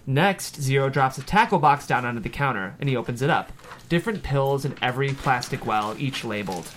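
A man's voice: medium pitch, animated, fairly quick, a bit bright, a little hiss or hum. A man narrating the stage directions at a screenplay table read, in scripted narration.

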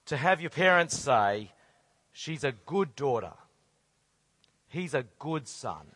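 A middle-aged man with a hoarse, croaky voice, preaching a sermon with earnest rhetorical questions. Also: a little high, expressive, takes it slowly, a bit bright. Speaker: conference speaker.

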